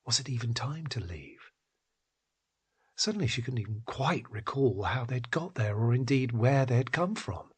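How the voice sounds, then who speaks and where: A man reading scripted literary fiction in a husky, breathy voice that often drops toward a whisper, with measured, dramatic phrasing. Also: medium pitch, expressive. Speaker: narrator. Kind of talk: scripted literary story narration.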